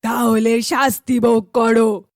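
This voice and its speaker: A woman's voice: fairly high pitch, level tone, takes it slowly, creaky, a little mellow, no room sound, clean studio sound. A woman voicing a jealous, power-hungry sorceress in a cartoon fairy tale, menacing and commanding.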